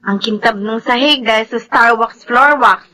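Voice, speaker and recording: An actress playing a brisk, house-proud Filipino mother and elder sister in a radio drama. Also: a little high, bright, forceful tone, poor audio. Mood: chiding.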